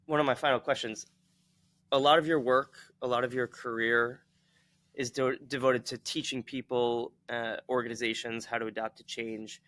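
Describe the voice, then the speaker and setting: A man's voice: medium pitch, fairly even intonation, moderate pace, a bit bright, no echo. A man hosting an interview, putting considered questions to his guest. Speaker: interviewer, host.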